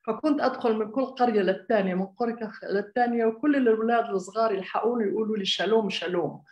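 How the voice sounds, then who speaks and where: A woman's voice: on the high side, very fast, darkish tone, dull audio. A woman raised in Jordan, an architect giving a conversational public talk, hesitant, with many fillers and restarts. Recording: echoey room.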